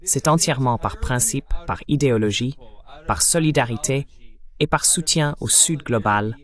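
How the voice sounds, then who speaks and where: A man delivering a voice-over translation of political commentary in a measured, explanatory style. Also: mid-range voice, fairly bright tone.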